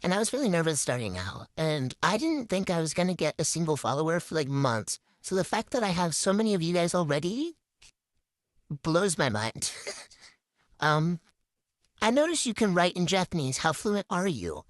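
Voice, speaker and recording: A woman's voice: on the low side, big pitch swings, normal speed, dry recording, clean studio sound. A young woman hosting a casual question-and-answer vlog. She reads each question aloud, then answers in a chatty, candid way full of ums and likes. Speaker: channel host.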